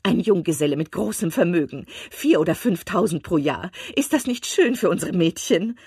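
Woman voicing an eager gossipy mother, raised pitch, lively swoops, slightly husky. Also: normal speed, dry recording, clean studio sound, heavily acted. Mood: excited. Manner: eager, insistent, chattering. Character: excitable gossiping middle-aged mother of five.

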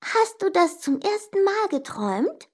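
A woman's voice: very high voice, very animated, unhurried, darkish tone, voice pushed up, exaggerated voice. A woman voicing a sly, teasing older sister in a children's story, insistent and emphatic. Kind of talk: children's story character dialogue.

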